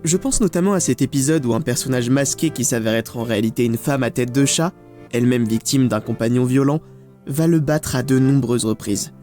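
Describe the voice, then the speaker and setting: A man's voice: medium pitch, a little fast, warm tone. Man narrating a scripted video essay over music. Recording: music under the voice. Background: background music.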